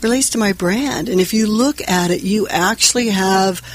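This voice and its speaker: Woman coach guest, earnest storyteller, medium pitch. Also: normal speed. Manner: earnest, explains with anecdotes and acted-out quotes. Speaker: brand coach. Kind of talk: casual interview talk.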